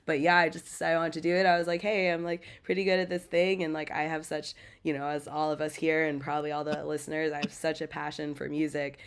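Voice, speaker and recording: A woman's voice: low voice, little pitch movement, fast, hardly any pauses, clear, resonant tone. A young American woman, a metal band vocalist, talking casually in a podcast interview with lots of "like" and "um" fillers. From United States. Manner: chatty, many "like" and "um" fillers.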